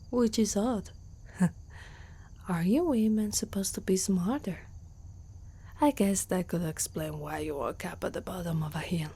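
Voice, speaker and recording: A woman from Italy playing an older, protective female centaur warrior haunted by loss, in a soft, slow, breathy whisper for an ASMR roleplay. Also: mid-range voice. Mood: gentle, curious.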